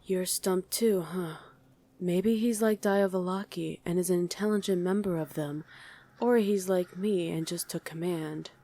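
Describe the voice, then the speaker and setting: Woman acting a lowish-voiced, wry demon. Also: unhurried, dark tone. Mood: casual, curious. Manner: conversational, hesitant musing, bickering with a partner. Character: female demon on mortal-hunting duty, wry, easily irritated. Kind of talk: ASMR roleplay acting to an unheard listener.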